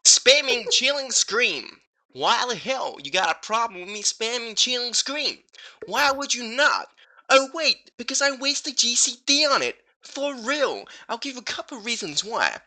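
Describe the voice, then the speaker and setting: A man's voice: very high-pitched, very animated, unhurried, sharp tone. A man reading forum posts aloud in a mocking, sarcastic and argumentative style. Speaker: co-host.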